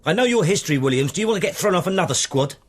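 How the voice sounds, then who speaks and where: Husky, stern British police detective. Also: male voice, sits high, lively intonation, very fast. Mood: angry, rebuking. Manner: brusque, commanding. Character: stern, driven male police detective inspector. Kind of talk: naturalistic radio drama acting.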